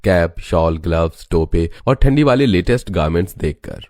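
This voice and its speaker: A man's voice: low voice, animated, normal speed, a little mellow, no room sound, studio quality, soft background music. A man narrating a scripted moral story as its storyteller.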